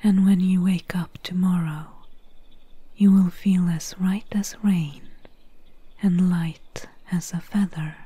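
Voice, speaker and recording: A woman playing a gentle, caring swamp witch in a soft-spoken ASMR roleplay, whispering slowly and soothingly in a breathy voice. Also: on the low side, dry recording. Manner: slow, soothing, hushed.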